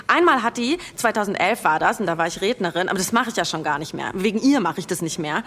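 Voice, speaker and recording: Aggrieved gossip in echoing hall. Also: female voice, fairly low pitch, animated, fast, fairly bright tone. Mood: spiteful. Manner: aggrieved, self-correcting, confiding. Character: indignant, rambling, spiteful woman academic gossip. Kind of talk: naturalistic German radio play monologue. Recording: long hall reverb.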